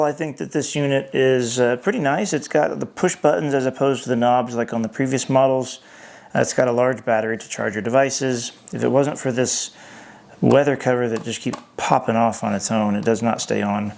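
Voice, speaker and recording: Gravelly-voiced man reviewing a product. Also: medium pitch, steady tone, fairly quick, a little mellow. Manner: hesitant, thinking aloud. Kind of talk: unscripted hands-on product review.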